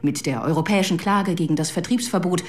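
Low-voiced formal female presenter played by an actress. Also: quick pace, darkish tone, natural voice. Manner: formal, composed. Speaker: presenter introducing a guest. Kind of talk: scripted presentation in radio drama.

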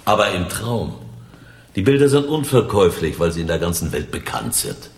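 An actor playing a self-assured, cautious crook in a radio crime drama, speaking deliberately with sardonic maxims. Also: male voice, low-pitched, very animated. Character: wry, self-assured male crook, cautious gang planner. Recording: roomy echo, faint background noise.

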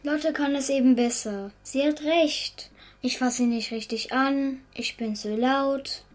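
Anxious little boy, high and plaintive, hesitant, for audio drama. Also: a little slow. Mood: guilty, dejected. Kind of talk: German audio drama acting.

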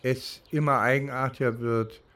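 An older man from East Germany recounting his legal experience reflectively, halting and pausing between phrases. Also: mid-range voice, steady tone, fairly bright tone. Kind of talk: reflective spoken recollection, interview-like.

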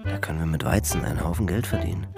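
An actor playing a plain-spoken working-class wagon driver who dreams of farm life, in a German radio play. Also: male voice, sits low, rapid-fire, over loud music, lightly acted. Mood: dreamy, persuading.

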